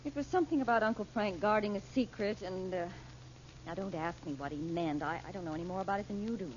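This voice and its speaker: A woman playing a firm, calm mother. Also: medium pitch, fast talker, slight background noise, lightly acted. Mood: weary. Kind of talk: 1930s radio drama. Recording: dull old radio recording.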